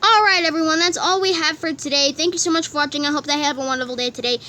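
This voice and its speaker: Very high, bright-voiced woman signing off. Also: very fast. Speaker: online comic dub creator. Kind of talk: scripted video sign-off.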